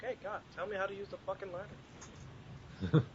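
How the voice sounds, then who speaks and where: A man's voice: high voice, fairly even intonation, measured pace, mellow. A man co-hosting a podcast in casual, crude, profane chat with friends. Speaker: podcast co-host. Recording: muffled lo-fi audio, background noise.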